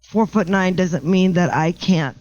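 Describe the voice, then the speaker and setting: A middle-aged woman with a low voice, a house painter chatting as a podcast guest, joking about herself and often restarting her sentences. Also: measured pace, muffled recording, over music. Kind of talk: casual podcast interview.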